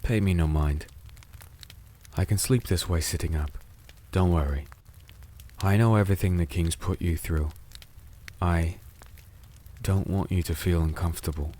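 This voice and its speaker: An actor playing a weary, disenchanted crusader who narrates a dark fantasy audio drama in a slow, solemn, gentle way. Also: male voice, deep voice. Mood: tender, reassuring. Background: music with rain sounds.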